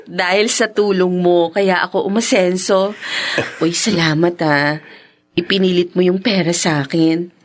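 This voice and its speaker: An actress in a Tagalog radio drama playing a cheerful, grateful salon owner newly in love, with an expressive, exclaiming manner. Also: female voice, on the low side, unhurried, close to an everyday voice. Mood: surprised, delighted.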